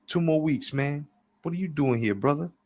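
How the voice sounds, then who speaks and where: Man doing an easygoing, husky male boxer. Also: on the high side, expressive, normal speed, a little mellow, voice pushed up. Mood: surprised, friendly. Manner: casual, brotherly, slangy.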